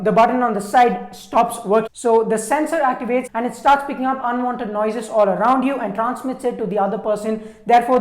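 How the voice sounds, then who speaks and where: Higher-voiced woman reviewing in an echoey room. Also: a little mellow. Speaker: product reviewer. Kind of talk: conversational product review to camera.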